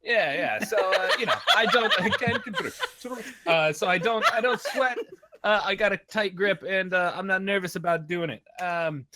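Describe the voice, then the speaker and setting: A man rambling through an improvised joke pitch, with hesitations and laughter. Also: sing-song, moderate pace, sharp tone, slight background noise. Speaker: player in an improv card game.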